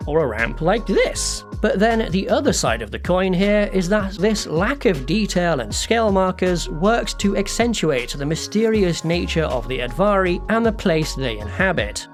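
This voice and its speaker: Lively, higher-voiced man narrating. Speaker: hobbyist 3D artist narrating a making-of video. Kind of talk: scripted voice-over narration, conversational. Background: music.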